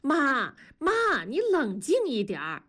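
A woman's voice: on the high side, sing-song, measured pace, husky, full character voice. A woman voicing an upset wife in her late thirties, pleading and exasperated. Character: upset woman near forty, distraught.

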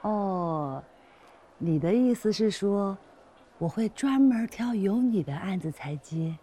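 An actress playing a pushy, flirtatious shop owner in a screen drama, insistent, teasing and quick to take offence. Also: female voice, fairly high pitch, very animated, husky. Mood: teasing, coaxing. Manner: insistent, teasing, indignant, theatrical. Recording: noticeable background noise and ambience.

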